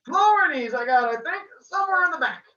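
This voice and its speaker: A reader voicing a gruff, impatient old male bookseller with a thick put-on Italian-style accent. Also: high voice, animated, brisk, pitched above the performer's own voice. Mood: grumbling. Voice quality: thick accent, loud and blustery. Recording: echoey room, dull sound.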